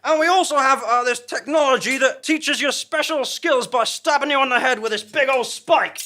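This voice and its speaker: Man playing a high-voiced, theatrical rebel guide. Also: moderate pace, pushed and bright. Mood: eager. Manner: mock-portentous, breaking into casual asides. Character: chatty, theatrical rebel guide from an alternate dimension. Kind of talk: comic radio-play acting with asides.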